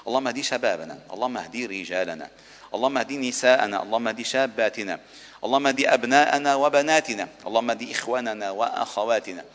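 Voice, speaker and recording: A long reverberant tail surrounds this man's mid-pitched voice. He speaks as a mosque preacher giving a sermon and prayer. His delivery is solemn and formal, with measured rhetorical phrasing. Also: a bit bright. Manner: solemn, formal rhetorical cadence, insistent repeated pleas. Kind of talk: Arabic Friday sermon and supplication. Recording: long echoing reverb of a large hall.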